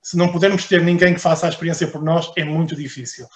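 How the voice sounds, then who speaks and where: A man's voice: fairly high pitch, fairly even intonation, quick pace. Portuguese-accented man in an echoey room. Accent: European Portuguese. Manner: hesitant, repeats words while thinking. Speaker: researcher giving a conference talk. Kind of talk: conference presentation and Q&A.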